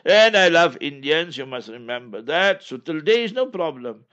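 A man's voice: on the high side, big pitch swings, moderate pace, a bit bright, highs cut off. A man from South Africa with a South African accent, whose first language is Memon, answering listener questions as an Islamic scholar in an emphatic, preacherly way.

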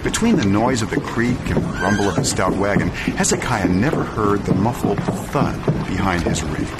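A man giving a dramatic scripted story reading, measured and solemn, with deliberate pauses and expressive phrasing. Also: on the low side, heavy background noise. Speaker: storyteller, narrator.

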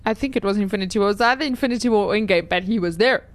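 A woman's voice: medium pitch, racing, dry recording. A woman co-hosting a movie review show, chatting casually and enthusiastically about a film, with frequent restarts.

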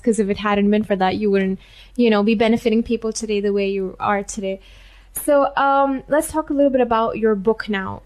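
A woman hosts a morning radio interview. Her voice sits somewhat high, and she asks her questions in a measured way, often adding affirming replies. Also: darkish tone. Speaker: radio show host.

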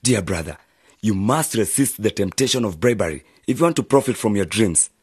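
A man's voice: on the low side, lively intonation, fairly quick, darkish tone, faint music behind, close to an everyday voice. A man playing a stern, advising older brother. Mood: concerned. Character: older brother giving stern moral advice. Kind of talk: radio drama acting.

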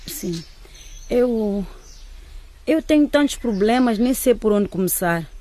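A woman's voice: medium pitch, lively intonation, slow pace, warm tone, a little hiss or hum. An actress playing a timid, troubled middle-aged mother in a radio drama, hesitant and pleading. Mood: distressed.

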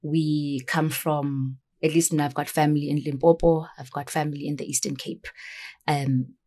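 A South African woman hosts a relaxed chat. Her voice sits low and she talks in an easy, conversational way, slipping between English and isiXhosa. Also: normal speed. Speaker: host. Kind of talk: casual interview chat.

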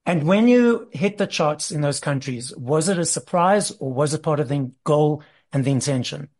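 A man hosting an interview, first reading a formal introduction and then asking questions in a steady, measured way. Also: fairly high pitch. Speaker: interview host.

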